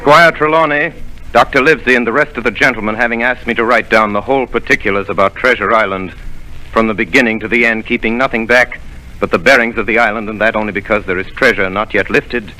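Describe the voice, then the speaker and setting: A man voicing a grown man who recalls his boyhood adventure, in measured 1930s radio drama narration. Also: fairly low pitch, fairly even intonation, bright, forceful tone, poor audio. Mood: earnest. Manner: measured, storytelling delivery. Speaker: narrator of the tale. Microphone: radio studio microphone.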